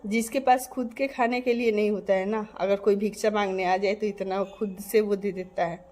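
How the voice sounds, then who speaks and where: A woman's voice: mid-range voice, steady tone, moderate pace, a little mellow. Earnest Bihari woman vlogger. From Bihar, India. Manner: earnest, emphatic, repetitive. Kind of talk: casual direct-to-camera monologue.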